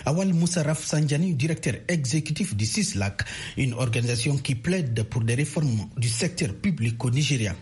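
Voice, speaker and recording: A male correspondent reads a scripted news report in a measured, formal way. His voice is very raspy. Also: mid-range voice, lively intonation, a little mellow.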